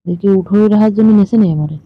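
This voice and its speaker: A woman's voice: medium pitch, quick pace, husky, dry recording. A woman in a casual, teasing, colloquial phone chat. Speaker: caller in a staged phone conversation. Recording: muffled lo-fi phone call recording.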